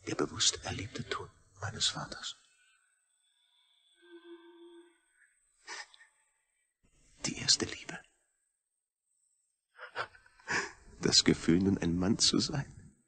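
Give this to a tormented spaceman in a radio play. His low voice is badly hoarse-edged and gravelly, sliding widely in pitch. He broods intensely, often close to whispering. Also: lively intonation, a little slow, pushed and bright, exaggerated voice. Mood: determined. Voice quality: husky, gravelly. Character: anguished male astronaut reduced to a disembodied brain. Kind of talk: radio drama inner monologue.

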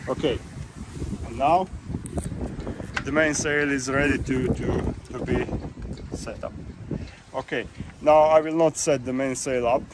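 A man's voice: mid-range voice, steady tone, unhurried, long pauses. Man on a windy boat deck. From Croatia. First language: Croatian. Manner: plain, step-by-step instructions with restarts while handling gear. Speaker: yacht charter guide. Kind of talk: hands-on boat tutorial. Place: outdoors on a sailboat deck in a marina. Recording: loud wind noise on outdoor mic.

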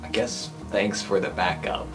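A man's voice: on the low side, fairly even intonation, measured pace, a bit bright. A man playing a tabletop game, improvising roleplay in casual talk. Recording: music playing behind the voice.

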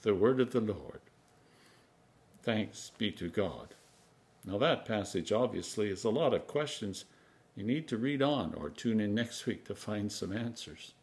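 A man in his sixties, an Anglican priest, reading scripture aloud in a measured, solemn, emphatic manner. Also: fairly low pitch, lively intonation, a little mellow. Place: home kitchen, indoors.

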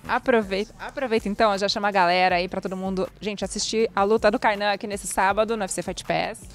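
A young Brazilian woman working as a reporter, asking quick, bubbly questions into a handheld mic in front of a press backdrop. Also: medium pitch, steady tone, faint music behind. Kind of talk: interviewing.

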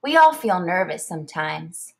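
A woman voicing a calm father firefly from a storybook, plain and comforting. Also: lowish voice, normal speed, creaky, exaggerated voice. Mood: reassuring.

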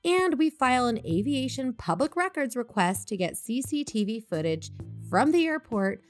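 A woman playing a careful, analytical investigative podcaster in an audio drama, reasoning in a measured way. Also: on the high side, sing-song, faint music behind. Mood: cautious. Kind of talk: audio drama dialogue and narration.

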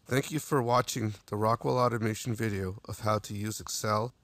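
A man narrating a screen-recorded software tutorial, walking through each step in a steady way with small restarts. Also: on the low side, moderate pace, no room sound.

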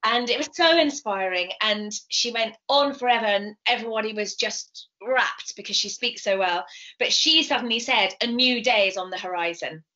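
A middle-aged British woman explaining things in an interview over a video call, speaking in an animated, emphatic, passionate way. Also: on the high side, normal speed. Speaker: composer and singer being interviewed. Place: indoors, home room. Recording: slightly dull video call audio.

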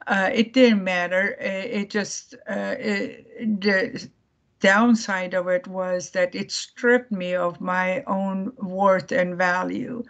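A woman from Iran giving a presentation over a video call, speaking haltingly with many fillers and restarts. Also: medium pitch, fairly even intonation, gravelly, muffled recording. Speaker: guest speaker.

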